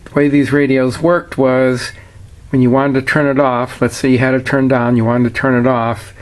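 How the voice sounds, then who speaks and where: A man's voice: medium pitch, level tone, normal speed. A man giving a casual, plainspoken product walkthrough in a matter-of-fact way, like a seller showing an item. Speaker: seller demonstrating a vintage radio.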